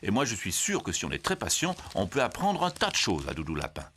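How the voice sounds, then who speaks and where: A man's voice: lowish voice, wildly swooping pitch, fast talker, sharp tone, husky, heavily acted. A man voicing an exasperated young girl rabbit, a protesting big sister in a children's storybook. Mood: determined. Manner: indignant, protesting.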